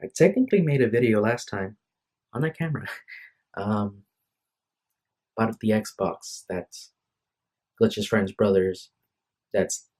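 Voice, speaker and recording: A man's voice: fairly low pitch, slow, long pauses, a little mellow. A tired young man talking casually into his phone at home, rambling and apologetic, often restarting his sentences. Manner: hesitant, apologetic, restarts a lot. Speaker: vlogger. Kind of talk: rambling casual vlog to camera. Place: room at home. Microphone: phone microphone.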